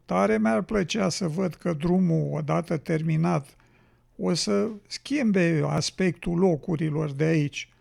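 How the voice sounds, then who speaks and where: An actor playing a weary, ailing road builder in an educational radio drama, gravelly and husky, with a plaintive storytelling manner. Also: male voice, sits high, a little mellow, heavily acted.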